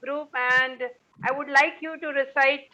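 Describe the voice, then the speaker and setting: A woman's voice: high voice, steady tone, measured pace, bright, forceful tone. A woman hosting a poetry event in a formal, polite and somewhat hesitant way, addressing the participants. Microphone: online group call. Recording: dull lo-fi online call.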